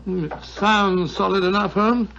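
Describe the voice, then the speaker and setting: Avuncular gentleman companion, bluff, earnest, high-pitched, gravelly creak, 1940s radio acting, dull sound. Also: fairly even intonation, a little slow, slight background noise, heavily acted. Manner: avuncular, earnest, easily amazed. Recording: muffled 1940s radio recording.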